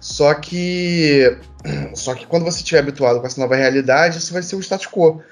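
A man's voice: fairly high pitch, a little fast, faint music behind. A man with a Brazilian accent speaking casually in a panel discussion, thinking aloud in a discursive way and explaining through everyday examples. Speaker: panel participant.

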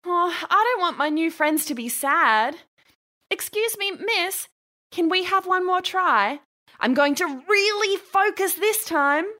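Woman acting high, bright, expressive children's story character. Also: a little slow, exaggerated voice. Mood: eager. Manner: expressive, encouraging. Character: cheerful, expressive young girl and encouraging schoolteacher.

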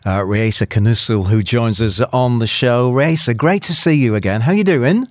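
A man's voice: medium pitch, normal speed, dry recording, clean studio sound. A man hosting a radio show, chatting and interviewing in an enthusiastic, complimentary manner.

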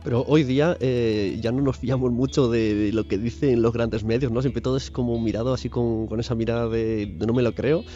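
A man's voice: medium pitch, fairly even intonation, brisk, few pauses, warm tone, highs cut off, soft background music. A man chatting casually on a podcast panel, hesitant and colloquial. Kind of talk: casual chat.

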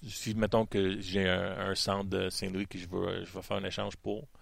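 A man, debating hockey trades on a radio show, halting and repeating words as he thinks. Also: low voice, little pitch movement, gravelly, no echo. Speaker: hockey panelist. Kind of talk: casual sports debate.

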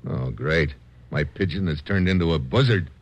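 An actor playing a wry, sardonic American adventurer in a 1950s radio drama. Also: male voice, rumbling low, normal speed, over loud music. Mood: wry, sly. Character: wry American adventurer sizing up a card-game mark. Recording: dull vintage 1950s radio recording.

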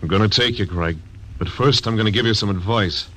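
An actor playing a laconic, stubborn frontier marshal who narrates, in 1940s radio acting. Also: male voice, on the low side, a little fast, bright, forceful tone. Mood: steady. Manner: terse, dry. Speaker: narrator and lead. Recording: old radio recording with music.

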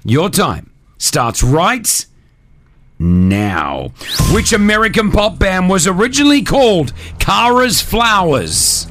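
A man hosting a morning radio show, energetic and upbeat as he runs a cash quiz. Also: high-pitched, slow pace, over music. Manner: energetic, hypes up prizes. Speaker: radio host. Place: radio studio. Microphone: studio mic.

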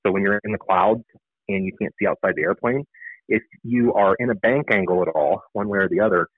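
A man's voice: fairly low pitch, fairly quick, no echo. A man giving informal, explanatory answers as a guest in a radio interview, often rephrasing himself. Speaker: interview guest, author and air traffic controller. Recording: narrow phone-like line.

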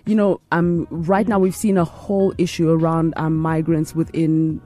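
A woman's voice: low voice, flat delivery, normal speed, mellow, soft background music. A woman hosting an interview, chatty and affirming, with many "you know" fillers as she asks questions. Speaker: interviewer, host. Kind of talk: casual conversational interview.

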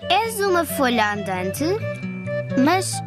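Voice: sits high, big pitch swings, slow pace, heavily acted. A cartoon dub performer playing a small, curious, sweet puppy girl with a childlike voice. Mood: curious wonder. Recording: children's music loud behind the voice. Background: children's cartoon music with guitar.

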